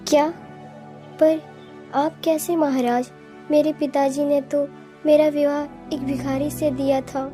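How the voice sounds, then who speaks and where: Woman playing humbled cartoon princess: high, slow, hesitant and apologetic. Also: level tone, music in the background. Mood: stunned. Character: humbled young princess, once proud, now remorseful. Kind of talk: children's cartoon story acting.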